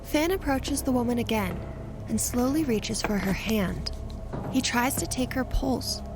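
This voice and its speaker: A woman's voice: fairly high pitch, measured pace, noisy background. A woman reading scripted story narration for an audio drama as the narrator. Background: music.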